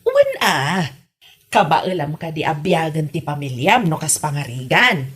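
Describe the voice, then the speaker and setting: A woman playing a fretful, fond mother of a grown son in an Ilocano comedy drama, with an expressive, coaxing delivery. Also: deep voice, unhurried, slightly rough, full character voice. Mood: concerned, urging.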